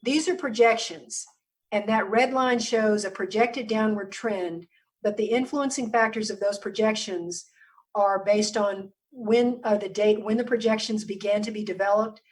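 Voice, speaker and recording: A woman public health official giving a measured briefing over a video call, hoarse and losing her voice, often stopping to clear her throat. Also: medium pitch, fairly even intonation, distant mic, rough recording. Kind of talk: briefing presentation on a virtual meeting.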